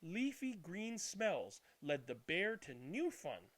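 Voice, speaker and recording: A man reading a children's picture book aloud as a storyteller, dramatically, with drawn-out words and hushed passages. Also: sits high, big pitch swings. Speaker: read-aloud storyteller and channel host. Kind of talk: expressive children's picture book read-aloud, then casual chat.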